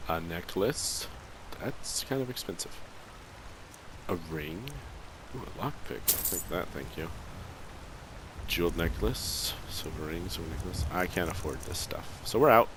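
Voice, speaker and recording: A man hosts a let's play in a low voice. His commentary is casual and mildly understated. Game ambience is clearly audible behind him. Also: animated, a little slow, pauses often, noisy background. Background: video game ambience.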